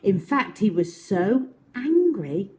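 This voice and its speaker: A woman tells a children's story in a medium-pitched, highly animated voice. Her reading is unhurried and expressive, with dramatic emphasis, and it is pitched directly at young listeners. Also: slight background noise.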